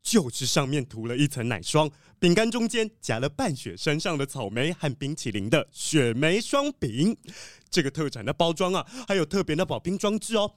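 A hearty, higher-voiced wolf diplomat. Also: male voice, sing-song, moderate pace, dry recording, clean studio sound. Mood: enthusiastic. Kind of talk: children's detective audio drama.

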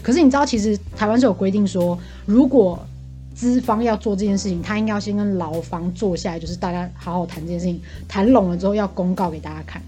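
A woman's voice: mid-range voice, fast talker, warm tone, faint music behind. A woman with a Taiwanese accent chatting casually as a podcast co-host, telling stories in a talkative, exasperated way. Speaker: podcast co-host venting as a bar customer. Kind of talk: casual chat, animated storytelling.

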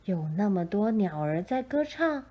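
A woman narrates a children's storybook at a very unhurried pace. Her tone is soft and mellow, with a middle pitch. She reads in a gentle, expressive storytelling way.

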